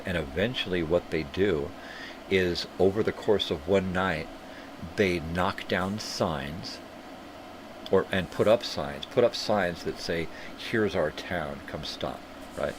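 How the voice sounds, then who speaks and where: An older man hosting a live stream, rambling through casual, profane tangents while sniffly from a cold. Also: sits low. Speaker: livestream host. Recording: clear background hum.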